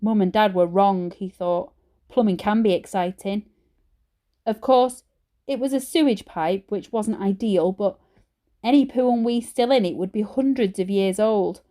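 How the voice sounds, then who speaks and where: A woman teacher reading a children's story aloud in an expressive storytelling style. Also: medium pitch, unhurried.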